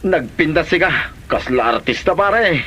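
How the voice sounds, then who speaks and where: An actor plays an excitable, defensive man in a radio comedy drama. His voice sits a little high and swings widely, with a sharp edge and faint noise behind it. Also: unhurried. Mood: gleeful.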